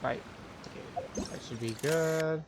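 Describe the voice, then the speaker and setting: Creaky-voiced man streaming a game. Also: a little high, slow pace. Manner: musing to himself in short remarks. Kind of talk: casual live gameplay commentary. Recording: live stream with clear background noise.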